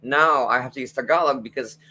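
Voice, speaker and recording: A man's voice: medium pitch, a little fast, pushed and bright, a little hiss or hum. A man with a Filipino accent whose first language is Kapampangan, talking casually as an interview guest on a video call. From Philippines.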